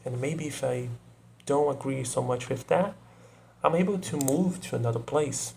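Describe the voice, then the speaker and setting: A young Brazilian man, native Portuguese speaker, talking casually to camera in English with a Brazilian accent, often pausing to search for words and adding "you know". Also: medium pitch, vocal fry, mellow, slight background noise. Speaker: video creator.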